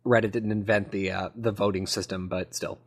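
A man's voice: fairly low pitch, level tone, brisk. Casual rambling man hosting a podcast. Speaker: podcast host. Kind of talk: casual gaming podcast chat.

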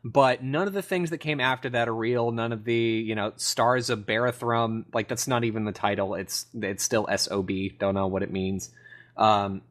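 A man co-hosting a podcast in casual conversation, opinionated and rambling, often repeating himself for emphasis. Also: mid-range voice. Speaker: podcast co-host. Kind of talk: casual gaming podcast chat.

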